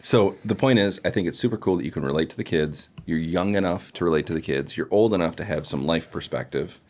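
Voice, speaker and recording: A man's voice: deep voice, quick pace, darkish tone. An American man hosting a casual podcast, talking in an informal, slangy, enthusiastic way. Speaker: podcast host.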